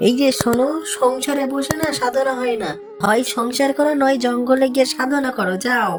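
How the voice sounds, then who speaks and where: A woman's voice: high voice, fairly even intonation, moderate pace, natural voice. A woman playing a practical, nagging village wife in a cartoon, fussy and exclaiming. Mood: scolding. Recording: music behind the voice.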